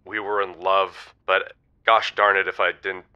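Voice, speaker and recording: A man's voice: sits low, little pitch movement, unhurried, smooth. An actor voicing an earnest, remorseful lovelorn man who calls a radio show, hesitant and confessional. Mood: rueful, confessional. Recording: slightly muffled phone call.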